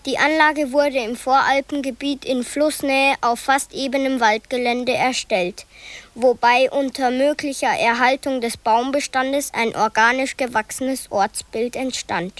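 A woman slowly and deliberately reading old planning texts in a documentary radio drama. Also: high voice, steady tone, dry recording. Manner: slow, deliberate reading of names and plans.

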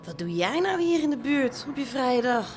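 A woman's voice: high-pitched, very animated, moderate pace, a little hiss or hum. A woman playing a brash, teasing window prostitute in a radio drama, streetwise and direct. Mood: probing, teasing. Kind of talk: naturalistic Dutch radio drama acting.

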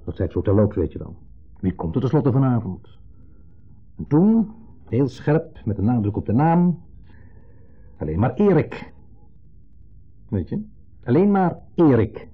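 A man's voice: mid-range voice, big pitch swings, a little slow, long pauses, breathy edge. An actor in a 1970s radio play voicing an insecure, needling man with a Netherlands Dutch accent, who speaks theatrically and dwells pointedly on his words. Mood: sarcastic, pointed. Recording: muffled, band-limited 1970s radio recording.

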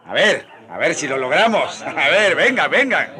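An eager missionary friar in a radio drama. His fairly high voice is lively and varied, warm and encouraging, with short repeated phrases. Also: moderate pace, faint music behind, full character voice. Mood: delighted, encouraging.

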